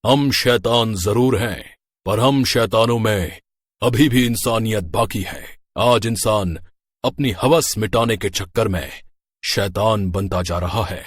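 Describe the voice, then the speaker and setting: A man's voice: mid-range voice, husky, dry recording, clean studio sound. A man playing a stern but humane male ghost in a horror story. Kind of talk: Hindi horror story dialogue.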